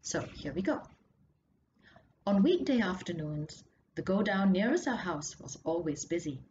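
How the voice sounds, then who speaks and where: A woman reading a story excerpt aloud with expressive, measured and dramatic narration. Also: lowish voice. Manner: measured, dramatic, drops to hushed tones for suspense. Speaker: author reading their own story. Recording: muffled audio with clear background noise.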